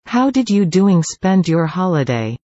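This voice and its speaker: A woman's voice: lowish voice, sing-song, slow, dark tone, no echo, highs cut off. A woman reading short English questions for a language lesson in a careful, scripted way. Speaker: English dialogue reader.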